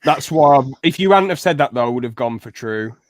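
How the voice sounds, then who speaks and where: A man's voice: a little high, animated, fairly quick, dry recording, clean studio sound. A young man hosting a casual chat on a video call, blunt and playfully argumentative. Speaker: podcast host.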